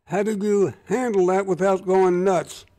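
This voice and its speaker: A man's voice: sits high, fairly even intonation, measured pace, dark tone. A man hosting a radio interview, chatty and wry in his questions. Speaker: radio talk show host.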